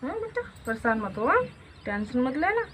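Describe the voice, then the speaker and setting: A woman playing a beaten mother who softly reassures her crying daughter, repeating words of comfort. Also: sits high, normal speed, distant mic, a little hiss or hum. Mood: reassuring. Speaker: actor in a home-made family drama.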